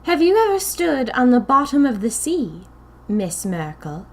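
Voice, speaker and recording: A woman's voice: fairly high pitch, big pitch swings, voice pushed up, heavily acted. Woman voicing a wavering, thin-voiced eerie little lady. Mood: eerily inquiring. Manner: slow, drawn-out, formal questioning. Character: small eerie woman, chubby smiling cult leader. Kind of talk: audio fiction character voice.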